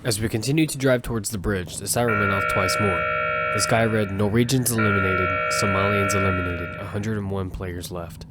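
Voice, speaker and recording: A man's voice: on the low side, steady tone, fairly quick, natural voice. An actor narrating as an earnest young squad leader telling his own story in an audio drama. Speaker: narrator and lead character. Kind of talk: first-person audio fiction narration. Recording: music bed underneath.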